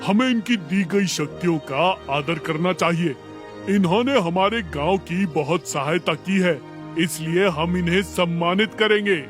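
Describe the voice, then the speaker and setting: A man's voice: sits high, measured pace, faint music behind. A man playing a dignified village official in a cartoon story, speaking formally as if making a public address. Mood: formal, appreciative. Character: dignified male village official praising young heroes.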